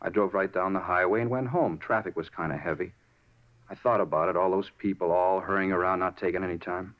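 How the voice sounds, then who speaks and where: An American actor playing an agitated, defensive murder suspect in a 1950s radio drama, insistent and irritable. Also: male voice, low voice, fairly even intonation, fairly quick, natural voice. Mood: subdued, edgy. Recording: dull 1950s radio recording.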